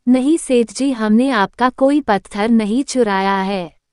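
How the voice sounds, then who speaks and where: Sensible cartoon village wife female actor, assured and firm, crisp voice, dry studio-close sound. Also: fairly high pitch, measured pace, darkish tone, dry recording, close to an everyday voice. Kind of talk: Hindi cartoon story dialogue.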